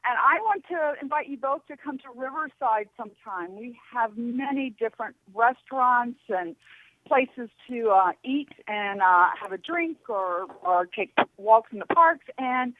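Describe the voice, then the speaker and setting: A woman calling in to a radio show, talking casually and a little haltingly over the phone. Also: on the high side, lively intonation, no room sound. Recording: tinny phone line.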